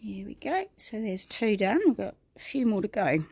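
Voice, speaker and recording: A middle-aged woman with a New Zealand accent, croaky this morning, chatting through a livestream craft tutorial and greeting viewers by name. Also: mid-range voice, animated, moderate pace, a little mellow, dry recording. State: croaky, clearing her throat.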